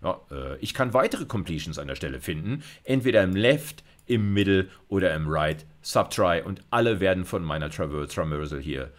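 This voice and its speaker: A man's voice: low voice, expressive, moderate pace, hardly any pauses. A middle-aged man giving an informal video lecture, thinking aloud through a live coding demo and dropping in English technical terms. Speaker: university lecturer.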